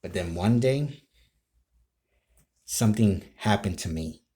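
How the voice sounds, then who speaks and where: Deep-voiced, slow-talking man, halting storyteller. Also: animated, warm tone. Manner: halting, rambling, self-interrupting. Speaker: amateur role-play video creator. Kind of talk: casual improvised storytelling to camera.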